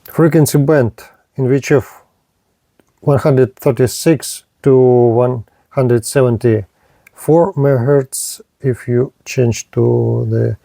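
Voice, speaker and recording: A man's voice: mid-range voice, gravelly, dark tone, no echo. A man narrating a hands-on radio equipment test as a reviewer, speaking in short clipped phrases. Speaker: product reviewer.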